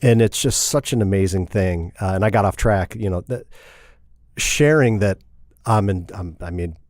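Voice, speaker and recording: A man's voice: low-pitched, moderate pace, a little mellow, dry recording. A middle-aged man from Indiana, talking casually as a podcast guest, rambling and repeating and correcting himself. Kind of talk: casual interview.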